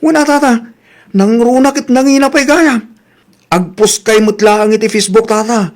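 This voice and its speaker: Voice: mid-range voice, fairly even intonation, moderate pace, natural voice. Brash, inquisitive Ilocano neighbor acted out. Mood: curious. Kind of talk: Ilocano comedy drama acting.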